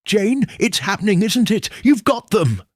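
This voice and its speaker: A man voicing an eager, excitable genteel village lady in a storybook mystery. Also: high voice, a little slow, dry recording, clean studio sound, voice pushed up, full character voice. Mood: excited. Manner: eager, breathlessly curious.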